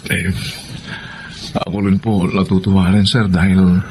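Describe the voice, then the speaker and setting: A man's voice: low-pitched, level tone, measured pace, a little mellow, slight background noise, low-quality recording. An actor playing a humble, kind male servant, polite and hesitant, in a radio drama. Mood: deferential, earnest. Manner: hesitant, polite, stammering when moved. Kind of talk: Filipino radio drama acting.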